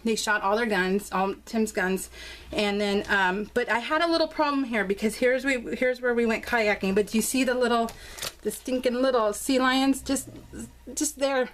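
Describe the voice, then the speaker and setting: A woman's voice: on the high side, moderate pace, a little hiss or hum. An American woman chatting casually and informally in a bubbly, gushing way as she shows her craft project. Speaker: craft vlogger showing her scrapbook album. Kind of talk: casual chatty narration of a craft show-and-tell.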